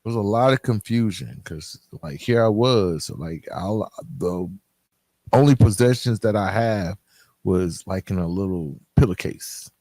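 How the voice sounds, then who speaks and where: Low-voiced man, candid podcast host. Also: lively intonation, mellow, dry recording. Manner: candid, conversational, halting with repeated phrases for emphasis. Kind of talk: casual personal storytelling to listeners.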